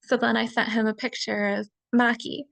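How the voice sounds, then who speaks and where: A young woman presenting a class assignment over a video call, chatty and quick to laugh, with many fillers. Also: on the high side, little pitch movement, creaky, a bit bright, no room sound. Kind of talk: casual slideshow presentation.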